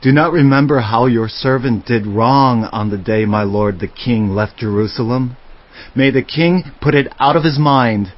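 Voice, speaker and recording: Male actor as remorseful subject begging his king, mid-pitched, slow and humble, slightly muffled. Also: close to an everyday voice. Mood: remorseful, pleading. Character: contrite, groveling wrongdoer begging a king. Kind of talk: dramatized audio Bible acting.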